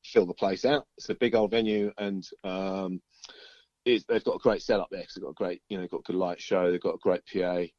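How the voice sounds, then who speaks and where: Low-voiced British man on a dull link. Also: fairly even intonation, a little fast, dry recording. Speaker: band musician being interviewed. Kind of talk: casual chat.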